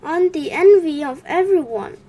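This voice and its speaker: A bragging little male tree frog, sure his song is fit for royalty, talking in a high, bright, lifted voice, as a woman reads him aloud. He speaks slowly and grandly, savoring every boast, in a storybook telling. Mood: boastful. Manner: bragging, then plaintive.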